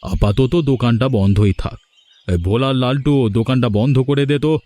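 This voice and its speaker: A man's voice: mid-range voice, normal speed, mellow, no echo, natural voice. A man voicing a grumpy, worried village shopkeeper husband in a cartoon, bossy and resigned. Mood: irritated. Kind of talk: cartoon dialogue acting.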